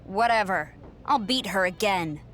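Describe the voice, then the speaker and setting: A woman's voice: mid-range voice, expressive, measured pace, background noise, natural voice. An actress playing a young female champion wrestler in a video game, blunt, sarcastic and defiant. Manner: blunt, sardonic. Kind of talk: video game cutscene acting.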